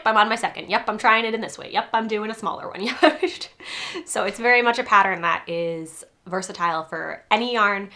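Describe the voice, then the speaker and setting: Chatty congested woman, bright voice. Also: mid-range voice. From New England. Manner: chatty, rambling, laughs often. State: congested from a cold. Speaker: crochet designer and podcast host. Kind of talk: casual chat to camera.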